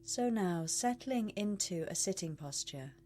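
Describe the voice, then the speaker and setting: A woman leading a guided meditation as a coach, speaking in a measured, soothing way with long pauses. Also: mid-range voice, lively intonation, a little mellow, soft background music.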